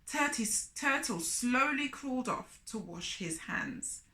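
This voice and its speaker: A woman's voice: high voice, animated, slow, pushed and bright. A woman storyteller reading expressively for children, with chatty asides and questions to the young listeners. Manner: asks the listeners questions, comments on characters. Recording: echoey room.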